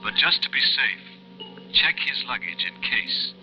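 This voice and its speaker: Concerned careful man, old radio drama, medium pitch, dull sound w music. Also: sing-song, a little slow, vocal fry. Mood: grave warning. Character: cautious, concerned man warning of possible danger. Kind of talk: 1940s radio acting. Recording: muffled vintage radio with music.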